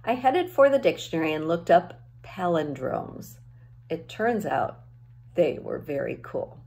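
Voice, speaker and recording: A middle-aged woman reads a children's story aloud in a slightly low voice. Her pitch moves a great deal as she tells it, and she acts out the dialogue. It sounds like a teacher reading at home into a device at arm's length. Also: big pitch swings, measured pace, creaky, darkish tone, a little hiss or hum. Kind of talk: expressive read-aloud of a children's novel. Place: small home room with bookshelves.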